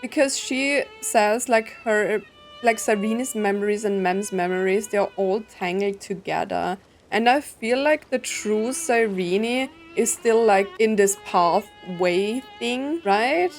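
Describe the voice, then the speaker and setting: A young woman reacts to a story game in a slow, unhurried voice, peppering her talk with "like" and "right." Her pitch sits in a middle range. Soft game music with strings plays faintly behind her. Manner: chatty, fills with "like" and "right". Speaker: gameplay streamer. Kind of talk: casual reaction commentary.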